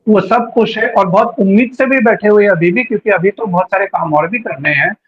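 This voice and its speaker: High-pitched, husky-voiced man in political interview. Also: fairly quick, muffled recording. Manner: talkative, mixes English words into Hindi. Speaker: guest giving local political account.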